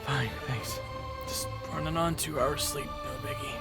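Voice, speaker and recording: A man's voice: mid-range voice, steady tone, measured pace, pitched above the performer's own voice, close to an everyday voice. A man voicing a brash, sarcastic young swordsman companion who swears freely, in an audio roleplay. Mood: weary. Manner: sarcastic, foul-mouthed. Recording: loud game music behind.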